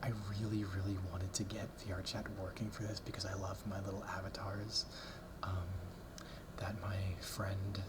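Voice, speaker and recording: A man whispering a rambling ASMR talk close to the mic, softly repeating words as triggers, with ums and small nervous laughs. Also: deep voice, monotone, moderate pace, very noisy. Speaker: ASMR creator. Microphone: close ASMR mic, brushed.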